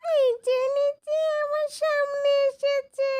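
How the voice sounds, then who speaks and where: A woman voicing a gloating, hungry female ghoul in a children's doll story, cackling and taunting with drawn-out words. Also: very high-pitched, level tone, a little mellow, pitched above the performer's own voice, exaggerated voice.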